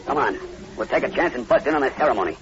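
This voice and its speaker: An actor playing a grim, resolute American plantation owner, terse and commanding, in a 1940s radio horror drama. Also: male voice, mid-range voice, expressive, racing, a crowd in the background, lightly acted. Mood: grim resolve. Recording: muffled, hissy old radio broadcast.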